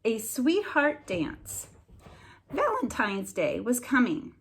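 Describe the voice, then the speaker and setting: A woman with a slightly husky voice reads aloud in the manner of a primary teacher. Her pitch moves in wide, lively swings over an unhurried pace, with careful emphasis on the words for young listeners. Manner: deliberate enunciation with expressive storybook emphasis. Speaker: teacher reading aloud to pupils. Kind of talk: slow expressive read-aloud lesson for children.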